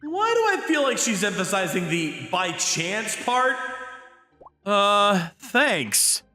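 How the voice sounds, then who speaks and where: A man voicing an excitable, self-pitying high school boy in a comic anime-style dub, with dramatic outbursts and glum asides. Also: slow pace, heavily acted. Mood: flustered. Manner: exasperated, dramatic outbursts and glum inner monologue.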